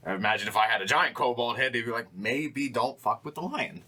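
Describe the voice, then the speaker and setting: A man's voice: mid-range voice, expressive, fairly quick, background noise. A man chatting casually as a player in a tabletop roleplaying game, blunt and argumentative. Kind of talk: casual chat, in-game banter.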